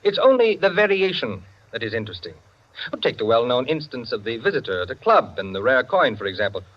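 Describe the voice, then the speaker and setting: An actor playing an opinionated, self-satisfied clubman who lectures his friends in theatrical 1940s radio style, with savored pauses. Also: mid-range voice, big pitch swings. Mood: emphatic, condescending. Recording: dull, band-limited 1940s radio recording.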